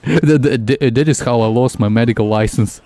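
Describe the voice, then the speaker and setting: A man who is a native Russian speaker, streaming a game with casual, halting, jokey commentary. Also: mid-range voice, warm tone.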